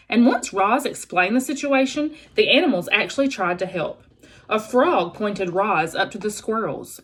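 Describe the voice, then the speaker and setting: Husky woman reading a storybook with lively intonation. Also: medium pitch. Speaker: teacher reading aloud. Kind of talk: expressive children's storybook read-aloud.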